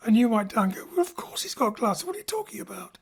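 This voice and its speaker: A woman's voice: on the high side, very animated, fast, husky. A woman hosting a casual discussion about theatre and audio drama.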